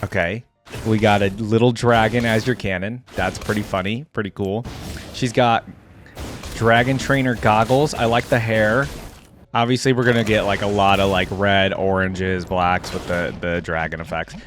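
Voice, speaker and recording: A man's voice: fairly low pitch, fairly even intonation, normal speed, faint music behind. A young man giving casual, laid-back reaction commentary, thinking aloud as host.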